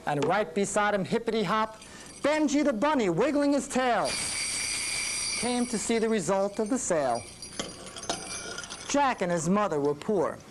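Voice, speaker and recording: A woman narrating a children's story in simple, deliberate phrases, with musical instruments behind her. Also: mid-range voice, slight background noise, rough recording.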